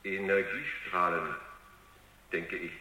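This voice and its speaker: A logical, submissive robot in a radio play. The voice is low and very sharp, with slow, formal delivery. It rings with heavy echo. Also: male voice, steady tone, full character voice. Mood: calm, obedient. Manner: deliberate, formal, deferential. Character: obedient, logical male robot answering its examiner. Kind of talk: German radio drama acting. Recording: echoey, reverberant radio drama recording.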